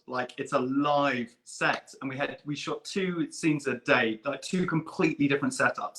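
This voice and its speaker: Chatty guy on a video call, bright forceful voice, keeps tripping over his words. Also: medium pitch. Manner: eager, rambling, fan-like storytelling with restarts. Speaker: guest puppeteer and performer. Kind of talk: casual chat.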